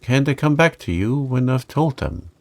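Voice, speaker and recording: A man's voice: medium pitch, animated, normal speed, darkish tone, dry recording, voice pushed up, close to an everyday voice. A man voicing a lonely, loving young boy in a storybook, speaking with earnest pleading. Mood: torn, urgent. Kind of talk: storybook character reading.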